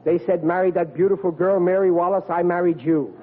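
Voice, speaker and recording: Man playing a high-pitched blustering sitcom dad. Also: fairly even intonation, a little fast, lightly acted. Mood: cocksure. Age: 40s. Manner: folksy, ungrammatical, blustering. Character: blustering, dim-witted forty-year-old working-class father, cocksure. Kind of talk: old-time radio situation comedy acting. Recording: tinny, hissy old radio broadcast.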